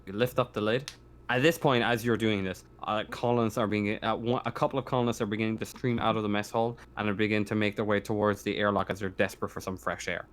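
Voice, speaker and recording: A man working as game master, narrating a sci-fi tabletop roleplaying game in a halting, improvised way. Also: lowish voice, fairly even intonation, fairly bright tone.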